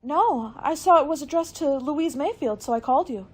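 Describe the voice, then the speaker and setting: A woman's voice: high-pitched, expressive, moderate pace, a little mellow. A woman playing an earnest, fretful secretary loyal to her detective boss in a radio mystery. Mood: deferential. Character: anxious, loyal young female secretary to a detective. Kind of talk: old-time radio mystery acting.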